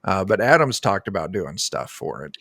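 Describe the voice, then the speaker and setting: A man speaking as a game designer in a casual interview over a video call, pausing to search for words. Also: low voice, very animated, vocal fry, sharp tone, no room sound, clean studio sound.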